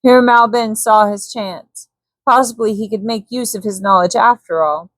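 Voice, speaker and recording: A woman reading a scripted bedtime story as a calm audiobook narrator. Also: medium pitch, moderate pace, no room sound.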